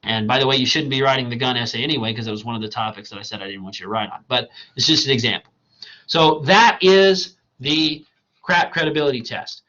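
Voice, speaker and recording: A man teaching a college writing class in a recorded slideshow lecture, talking in a loose, conversational way, tagging "right?" onto his points, his voice going hoarse. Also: mid-range voice, fast, sharp tone, dull audio. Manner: conversational and digressive, asks "right?" often. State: losing his voice.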